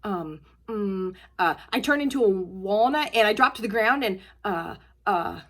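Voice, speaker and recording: A woman's voice: medium pitch, animated, a little slow, a bit bright. A woman voicing an eager, proud young child in a children's storybook, earnest, emphatic and boastful. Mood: rambling, excited. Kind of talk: children's storybook read-aloud.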